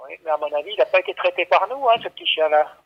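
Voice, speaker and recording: High-voiced, stammering man on the phone. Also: rapid-fire, husky. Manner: hesitant, stammering, repeating himself, patiently explaining. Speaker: veterinary clinic staff taking a call. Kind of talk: unscripted phone conversation. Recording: dull, lo-fi phone line.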